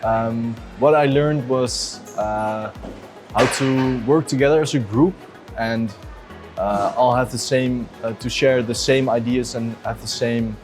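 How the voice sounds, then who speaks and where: A man's voice: medium pitch, slow, over music. A nineteen-year-old man giving a casual testimonial as a project participant, hesitant and searching for words. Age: young adult.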